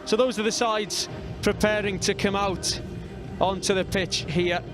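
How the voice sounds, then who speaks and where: North welsh guy commentating live rugby, steady measured delivery, high voice. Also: over music. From North Wales. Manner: measured, reads out team lines steadily.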